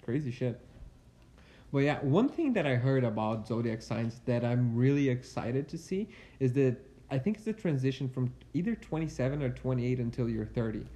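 A woman's voice: a bass voice, lively intonation, normal speed, warm tone. A woman in her twenties chatting casually with a friend, laughing often and swearing freely. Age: 20s. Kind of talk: casual chat between friends.

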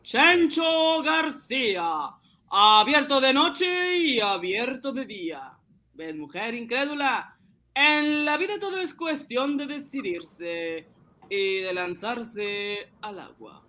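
A man's voice: very high-pitched, lively intonation, slow, bright, forceful tone, exaggerated voice. An actor in a comic radio drama playing an eager, blustering immigrant man of forty who stammers when flustered. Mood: proud. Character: excitable Latin American immigrant man, forty, eager upstart banker.

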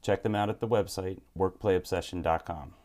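A man's voice: deep voice, steady tone, brisk, darkish tone, a little hiss or hum. A man in his thirties hosting a podcast, who asks questions in an earnest, curious way. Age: 30s. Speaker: podcast host. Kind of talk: casual interview podcast. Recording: roomy echo.

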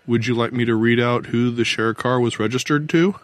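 Calm, probing male investigator read by a man, dry. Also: medium pitch, fairly quick, no echo, close to an everyday voice. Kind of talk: audiobook character dialogue.

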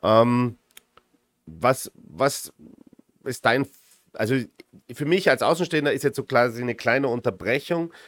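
Man, talk host, informal, halting delivery, mid-pitched, dry recording. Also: lively intonation, a bit bright, no echo. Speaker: host of a sports talk show. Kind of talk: casual interview chat with live viewers.

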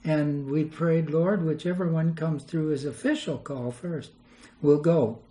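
A man's voice: a little high, steady tone, a little slow, warm tone, rough recording. An older man, a retired missionary, telling rambling anecdotes in an interview and chuckling as he goes. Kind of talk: storytelling interview.

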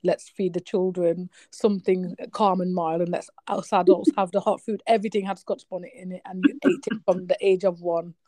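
A woman's voice: on the low side, brisk, few pauses, no echo. A middle-aged woman born in Trinidad and raised in the UK, with a British accent that still carries a Trinidadian lilt. She tells food stories as a podcast guest and acts out the voices of her family. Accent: British with Trinidadian traces. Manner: animated storyteller who acts out family voices. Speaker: podcast guest, nurse and cook. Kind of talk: casual interview chat.

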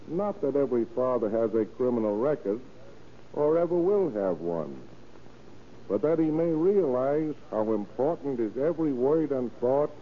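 Male sheriff, solemn formal speechmaking, moralizing, medium pitch, tinny 1930s radio line, faint noise. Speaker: sheriff, guest speaker. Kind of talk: formal scripted radio address. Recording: thin, phone-like 1930s radio transmission.

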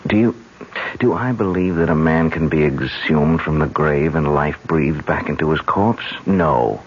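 An actor playing an earnest, rational newlywed husband with a scholarly bent in a radio drama. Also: male voice, very deep voice, unhurried, husky, full character voice. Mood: earnest, troubled. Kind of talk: 1970s radio drama acting. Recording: dull old radio broadcast recording.

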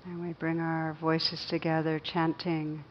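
Low-voiced woman guiding a meditation. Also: completely flat delivery, lo-fi sound, slight background noise. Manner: gentle, unhurried, with long pauses. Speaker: meditation teacher. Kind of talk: guided meditation.

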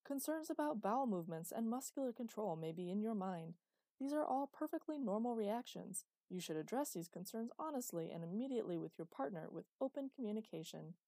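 A woman's voice: mid-range voice, expressive, brisk, mellow, dry recording, voice pushed up. A woman voicing a man narrating an old instructional video in a formal announcer manner. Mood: announcing.

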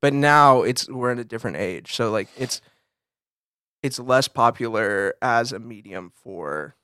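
An American man co-hosting a casual conversation, telling stories in an animated, slangy way and peppering his talk with "like". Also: medium pitch, measured pace, clean tone, dry recording, studio quality. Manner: animated storyteller, swears casually, frequent "like". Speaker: podcast co-host and photographer.

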